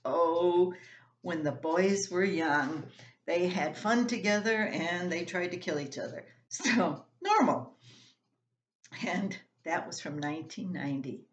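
Slow-reading older woman in an echoey room. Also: on the low side, animated. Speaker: columnist reading own work. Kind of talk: reading a personal story aloud. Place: small room at home, indoors. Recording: roomy home echo.

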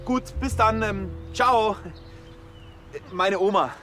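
An actor playing a sheepish, bumbling police underling with a hesitant, halting delivery in a TV crime comedy. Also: male voice, high-pitched, pushed and bright, faint music behind, full character voice.

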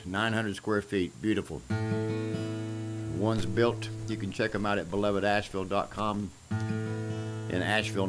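A man, talking casually and unhurriedly in short folksy fragments as he pitches something for auction. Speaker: musician presenting a guitar for a charity auction. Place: small indoor room at home. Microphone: microphone on a stand at guitar height. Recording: acoustic guitar playing around the voice. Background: acoustic guitar.

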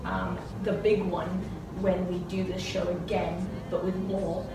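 A woman's voice: lowish voice, flat delivery, unhurried. Echoey, distant young woman vlogging wryly. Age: young adult. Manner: wry, self-answering, informal. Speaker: vlogger, university student. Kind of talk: casual chat to camera. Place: indoor room at home while ironing. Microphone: camera a few feet away. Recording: very echoey room, distant mic, heavy noise.

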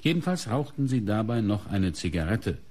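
A man's voice: lowish voice, sing-song, normal speed, darkish tone. An actor playing an insistent male police inspector who questions probingly in a radio crime play. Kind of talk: German radio play acting.

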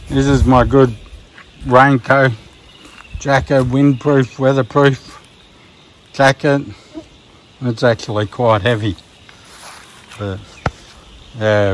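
Australian man, laid-back vlogger, mid-pitched, rambling um-filled delivery, faint outdoor noise behind. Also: rough recording. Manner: relaxed, rambling, many ums and restarts. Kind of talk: casual hiking gear walkthrough. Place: outdoors at a campsite by a river.

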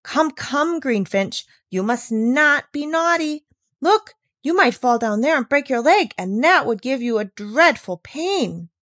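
A woman voicing an eager, warm-hearted little girl with bright, breathless exclaiming. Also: sits high, lively intonation, measured pace, dry recording, studio quality, voice pushed up, heavily acted. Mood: coaxing.

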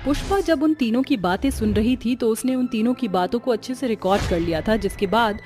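High-pitched woman narrating a story. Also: a little fast, a little mellow, faint music behind.

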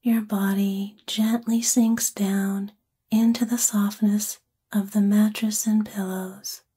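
A woman with a soft, breathy, whispery voice gently and soothingly guides a sleep meditation in an ASMR-like style. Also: mid-range voice, little pitch movement. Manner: gentle, soothing, unhurried phrasing.

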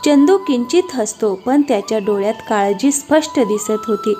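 A woman's voice: fairly high pitch, moderate pace. A woman reading scripted narration for a cartoon moral story. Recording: music behind the voice.

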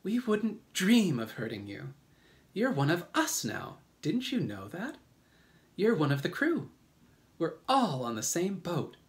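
Man putting on a high, kindly lady ladybug. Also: huge pitch swings, moderate pace. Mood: kind, reassuring. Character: giant kindly female ladybug, gentle and reassuring.

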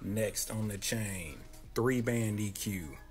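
A man in his thirties with a deep, boxy voice, talking close to a handheld mic as he casually walks through his audio setup in a small home room. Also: slow pace, soft background music. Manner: laid-back, slangy, talks close to the mic. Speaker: content creator explaining his audio setup. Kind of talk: casual tutorial. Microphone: handheld close-up microphone.

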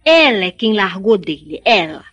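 A woman's voice: mid-range voice, sing-song, moderate pace, a bit bright, husky, lo-fi sound. A woman playing a stubborn, teasing young rural daughter in a radio drama, with a Brazilian accent. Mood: stubborn, exasperated.

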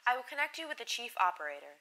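A woman's voice: fairly high pitch, big pitch swings, rapid-fire, a crowd in the background. A woman playing a detached, formulaic but polite telephone switchboard operator in a radio drama. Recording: very noisy, distant-sounding audio with clicks.

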